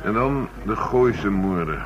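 Male chief inspector, radio play: low, thoughtful, methodical; dull recording with crowd murmur behind. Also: animated, slow pace. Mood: businesslike. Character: male police chief inspector methodically reviewing murder cases. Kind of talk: radio drama acting, naturalistic dialogue.

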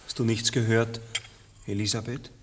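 An actor playing an uneasy, brooding farmer and father who speaks haltingly in a 1960s radio play. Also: fairly low pitch, little pitch movement, husky. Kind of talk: 1960s German-language radio play acting. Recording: noisy old radio recording, tableware clinking.

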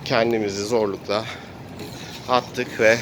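A man's voice: lowish voice, steady tone, unhurried. A man casually improvising the narration of a role-playing game in a playful way, as both game master and player. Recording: clear outdoor traffic and ambient noise.

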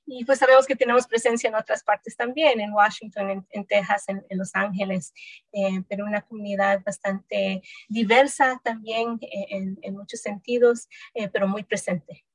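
A woman's voice: mid-range voice, fairly even intonation, normal speed, dry recording, rough recording. A woman in her thirties, born in El Salvador, answering interview questions on a video call in a thoughtful way, with many hesitations and restarts. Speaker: researcher guest. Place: home room.

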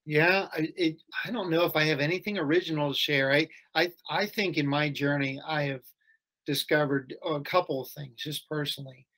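A middle-aged school head answers as a podcast guest over a video call. His voice sits a little high, and he speaks thoughtfully, often restarting his phrases. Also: steady tone, moderate pace, bright, forceful tone, rough recording. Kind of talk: casual interview chat. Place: home or office, video call.

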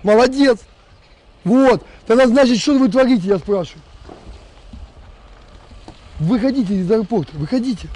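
A man's voice: very high-pitched, normal speed, long pauses. A man in his thirties from Donbas, a militia commander angrily threatening someone over a phone call outdoors on a road. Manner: angry, shouting threats and insults. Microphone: camera a few meters away, on a phone.

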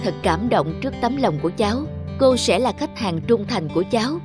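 An actress playing a kind, grateful middle-aged woman in a cartoon, speaking earnestly and in a measured way. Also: medium pitch, expressive, lightly acted. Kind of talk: cartoon dubbing. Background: music.

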